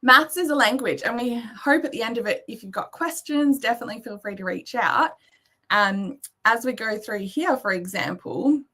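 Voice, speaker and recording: A woman's voice: medium pitch, pushed and bright. An Australian woman giving an earnest slide presentation, explaining step by step with frequent ums. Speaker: guest presenter. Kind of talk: slide presentation to an online summit.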